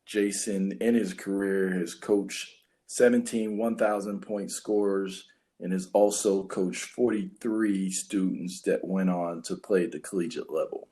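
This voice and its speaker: A man co-hosting a podcast with a very low, deep voice. He introduces the guest and asks questions conversationally, and he comes from western Pennsylvania. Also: steady tone, measured pace, dark tone. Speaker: podcast co-host. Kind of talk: casual interview hosting.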